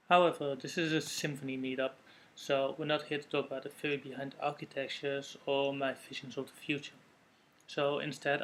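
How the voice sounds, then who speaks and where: A Dutch-accented man delivers a prepared technical presentation in a measured, explanatory way, sometimes correcting himself mid-phrase. His pitch sits mid-range and stays fairly level, with little rise and fall. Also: slight background noise, low-quality recording. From Netherlands. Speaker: conference speaker. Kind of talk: recorded tech presentation.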